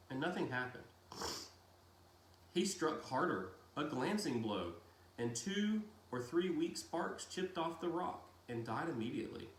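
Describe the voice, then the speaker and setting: A man reading a novel aloud in a deliberate storytelling style with dramatic pauses. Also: fairly high pitch, a bit bright. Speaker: reader of a children's novel read-aloud. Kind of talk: audiobook-style narration with brief casual asides. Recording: roomy echo, faint background noise.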